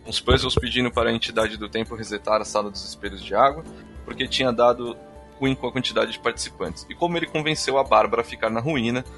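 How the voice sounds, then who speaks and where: Man, Brazilian accent, bright forceful voice, brisk delivery; casual, irreverent podcast co-host, faint music behind. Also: medium pitch. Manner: irreverent, slangy. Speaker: podcast co-host reading listener feedback. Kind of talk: casual reading aloud and banter.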